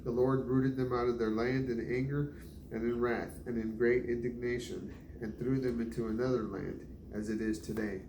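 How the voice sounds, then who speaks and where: Male reader, even and deliberate scripture delivery, distant in an echoey room with clear background noise. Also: medium pitch. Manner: measured, deliberate, stumbles over some names. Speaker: Bible reader. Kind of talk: scripture reading aloud. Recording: roomy, distant mic, noisy background.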